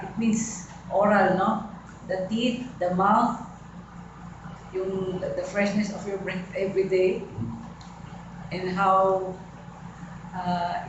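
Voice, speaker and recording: A woman in her fifties with a Filipino accent, speaking in a measured way in a group discussion. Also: mid-range voice. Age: 50s. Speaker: company director, focus group participant. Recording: echoey room, distant mic, lo-fi, background noise.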